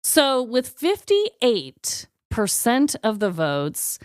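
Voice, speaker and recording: A woman co-hosting a podcast, telling stories in casual, animated, informal comedic chat. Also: fairly high pitch, extremely slow, smooth, no room sound, studio quality. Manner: animated, informal, occasional swearing. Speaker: podcast co-host.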